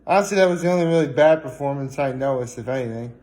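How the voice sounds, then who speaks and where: A man chatting casually in a solo vlog, rambling and halting as he often corrects himself. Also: mid-range voice, a little mellow. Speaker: vlogger. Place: indoors at home, on a couch.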